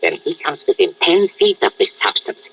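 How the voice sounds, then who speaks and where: An actor playing a ruthless, scheming German scientist with a husky voice and a commanding, grandiose manner in a 1940s-style radio drama. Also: male voice, high voice, sing-song, a little fast, full character voice. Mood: gloating, grandiose. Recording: muffled vintage radio recording.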